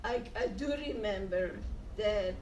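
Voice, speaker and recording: An elderly woman, answering questions in short, plain, halting phrases. She sits some way from the mic in an echoey room with faint ambient noise behind her. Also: medium pitch, darkish tone, distant mic. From Netherlands. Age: 80s. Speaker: interviewee. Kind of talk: oral history interview.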